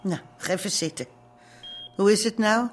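An actress in an audio drama playing a motherly café keeper, concerned and deliberate. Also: mid-range voice, slight background noise, close to an everyday voice.

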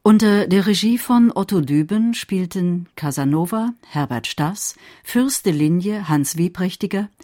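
A woman radio announcer reading scripted credits in a measured, formal manner. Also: on the low side, expressive, warm tone, no echo, clean studio sound.

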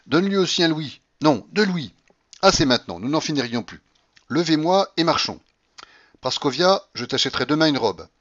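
Guy doing a bossy old russian granny from a novel, high pitched, exclaims everything, swoops around, slightly dull recording. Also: expressive, normal speed, a bit bright. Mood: elated, commanding. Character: imperious, exuberant, eccentric rich old Russian grandmother.

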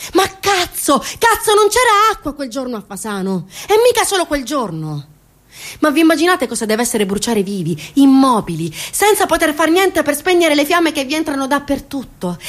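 A woman performing a dramatic theatrical monologue, impassioned and emphatic, repeating phrases for weight and putting indignant questions to her listeners. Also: high-pitched. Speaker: stage actress performing a monologue.

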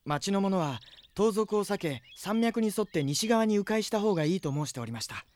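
A young knight in a radio drama. His voice sits slightly high, and he speaks with earnest, dutiful formality, like a soldier reporting. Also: animated, fairly quick, a little mellow. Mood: dutiful, concerned. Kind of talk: Japanese radio drama acting.

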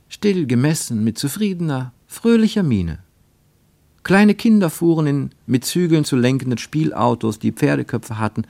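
A man reading scripted literary narration for a radio play, measured and wryly ironic. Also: mid-range voice, darkish tone, no room sound.

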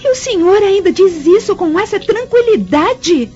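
High-pitched petulant young Roman daughter. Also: female voice, very animated, normal speed, dark tone. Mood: outraged. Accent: Brazilian. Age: young adult. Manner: petulant, biting. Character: spoiled insolent young Roman daughter, spurned and jealous. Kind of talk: melodramatic radio drama acting. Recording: lo-fi radio drama, music behind.

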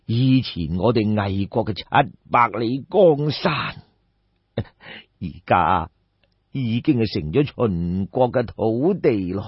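A man voicing a destitute old peasant in an audiobook story, sorrowful and respectful, with sighing, plaintive, drawn-out emphatic phrases. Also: fairly low pitch, expressive, breathy edge, no room sound, heavily acted. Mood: resigned. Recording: dull, muffled lo-fi audio.

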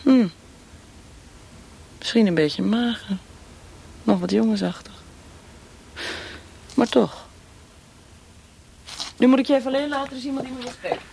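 A woman's voice: on the high side, steady tone, gravelly, mellow, a little hiss or hum. Cold, taunting female torturer. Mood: taunting, menacing. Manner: menacing, mocking, deliberate. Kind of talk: radio drama acting.

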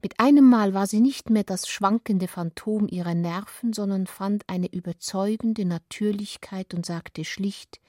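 An actress playing a wounded, defiant mistress in a literary radio drama, with a husky, breathy voice that often nears a whisper. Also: female voice, medium pitch, unhurried, few pauses, dark tone, no room sound, studio quality, lightly acted. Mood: defiant, hushed. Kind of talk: literary audio drama, hushed narration and dialogue.

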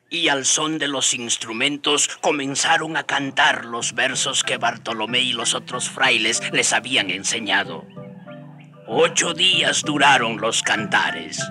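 An actor playing the storytelling narrator of a historical radio drama, speaking clearly. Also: male voice, medium pitch, close to an everyday voice. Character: storytelling narrator recalling the friars' mission.

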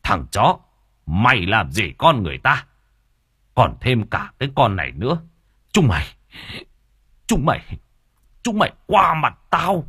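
A man's voice: medium pitch, huge pitch swings, unhurried, pauses often, bright, forceful tone, husky, voice pushed up, heavily acted. A man voicing a furious, domineering middle-aged father in an audio story, cursing and repeating himself in rage. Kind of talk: acted dialogue in audio storytelling.

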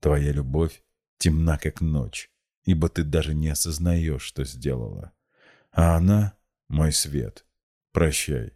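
A man's voice: extremely low pitch, takes it slowly, mellow, exaggerated voice. A man voicing a feverish, war-worn young man in an audiobook, desperate and resolute, speaking with curt intensity. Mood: grim. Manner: intense, curt, through clenched teeth.

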